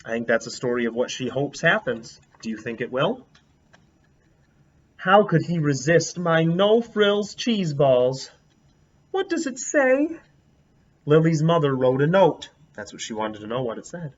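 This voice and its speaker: A man reading a children's picture book aloud expressively, pausing to ask his listeners questions. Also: fairly high pitch, big pitch swings. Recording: dull lo-fi recording.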